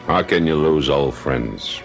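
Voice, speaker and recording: A man's voice: a bass voice, lively intonation, slow pace, gravelly, soft background music, heavily acted. A man playing a kindly, weary middle-aged patrolman in a radio drama, plain-spoken and earnest. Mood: mild, touched. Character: weary, kindly veteran patrolman nearing retirement, male. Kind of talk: old-time radio drama acting. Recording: muffled vintage radio drama recording.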